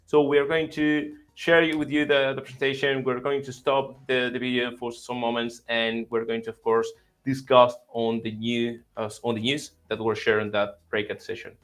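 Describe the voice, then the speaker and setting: A man's voice: medium pitch, flat delivery, normal speed, a bit bright. A man hosting an online panel chat, friendly and rambling with many fillers. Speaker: host.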